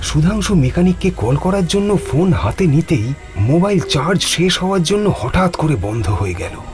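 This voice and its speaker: A man's voice: on the high side, sing-song, normal speed. A man narrating a scripted horror story in a dramatic, suspenseful way. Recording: music behind the voice.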